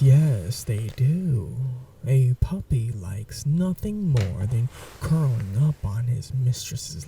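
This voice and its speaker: A man playing a possessive, seductive vampire in an intimate ASMR roleplay, speaking in a soft, breathy half-whisper with a languid, teasing, condescending manner. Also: mid-range voice, recorded from a distance, slight background noise, exaggerated voice.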